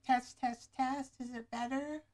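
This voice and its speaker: A woman with a soft, low voice hosting a relaxed craft-along show, chatting to herself as she works, picked up by a camera microphone right in front of her. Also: measured pace. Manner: soft, low-voiced, thinking aloud while working.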